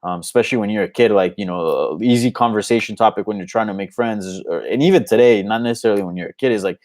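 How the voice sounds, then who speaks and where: Low-voiced man from Colombia, native Spanish speaker. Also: quick pace, a little mellow. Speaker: host of an MMA live Q&A show. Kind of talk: casual unscripted live Q&A commentary.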